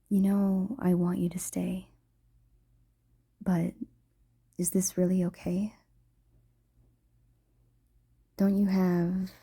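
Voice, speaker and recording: A woman acts out a gentle ghost girlfriend in a romantic audio roleplay. Her voice is very soft and dark, a little low, and she takes her time. Her wording is quaintly formal, with shy, halting hesitations and a playful tenderness. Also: breathy edge, heavily acted. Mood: hushed, hesitant.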